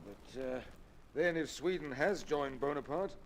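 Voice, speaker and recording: A man's voice: fairly high pitch, measured pace, lightly acted. A man playing an earnest, anxious British naval first officer in an old-time radio drama, deferential and clipped. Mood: worried. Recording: noisy old radio recording.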